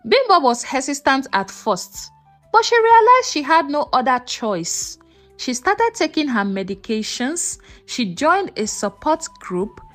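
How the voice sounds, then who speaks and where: High-pitched woman narrating a folktale. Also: faint music behind. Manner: measured, expressive storytelling delivery. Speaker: storyteller narrator. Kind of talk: scripted dramatic folktale narration.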